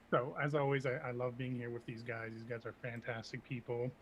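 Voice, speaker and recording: A man, an associate producer, chatting in a laid-back, joking way on a quiet mic set too far away. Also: medium pitch, a little hiss or hum. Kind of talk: casual chat.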